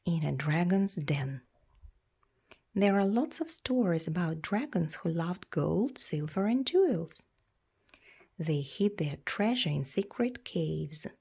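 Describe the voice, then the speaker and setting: A woman reading a children's storybook aloud in a measured, expressive storytelling way. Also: lowish voice, breathy edge. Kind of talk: read-aloud storybook narration.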